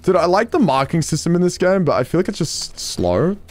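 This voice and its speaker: Chatty young man with lively pitch. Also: a little fast. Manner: joking, chatty, swears freely. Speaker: gameplay streamer. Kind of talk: casual gameplay commentary. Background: game music.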